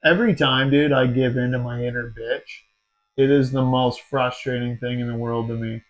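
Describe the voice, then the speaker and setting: Man, forties, podcast host, casual chat, swearing, animated storyteller, medium pitch. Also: normal speed, low-quality recording. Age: 40s. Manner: swearing, animated storytelling, says dude a lot.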